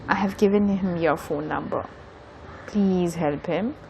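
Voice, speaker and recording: A woman's voice: on the low side, measured pace, vocal fry, a little mellow, poor audio. A woman acting an earnest, protective young woman in love in an amateur audio drama, speaking expressively with an Indian Bengali accent. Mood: earnest, pleading. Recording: faint background noise.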